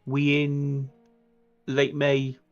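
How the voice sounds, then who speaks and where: A man's voice: medium pitch, monotone, extremely slow, muffled recording, faint music behind. A man playing a tabletop roleplaying game, talking casually and improvising his side of an in-game conversation.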